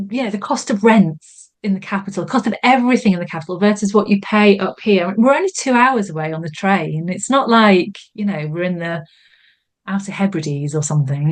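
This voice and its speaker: A woman, chatting as an English teacher and guest, enthusiastic and full of "you know" fillers. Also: mid-range voice. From Yorkshire, England. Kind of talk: casual conversation.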